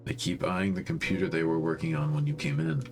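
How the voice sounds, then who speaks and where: A man's voice: deep voice, very animated, brisk, gravelly, over music. A man reading a visual novel aloud as storytime narration, breaking off into informal, slangy commentary.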